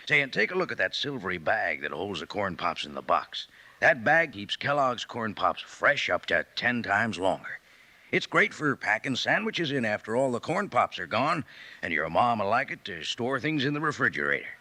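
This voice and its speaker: A man's voice: lowish voice, big pitch swings, normal speed, pushed and bright. A man announcing a sponsor's ad on 1950s radio, hearty and chummy.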